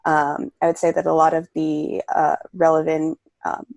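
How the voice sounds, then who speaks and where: A man's voice: fairly high pitch, completely flat delivery, normal speed, vocal fry, darkish tone, dry recording. A young man from Rhode Island, a student panelist speaking earnestly and casually on a video call. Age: young adult.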